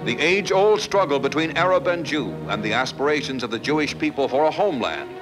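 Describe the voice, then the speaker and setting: A man's voice: fairly high pitch, very animated, fairly quick, low-quality recording. A man narrating a mid-century newsreel in a formal, declamatory scripted style. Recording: archive newsreel, music underneath. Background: music.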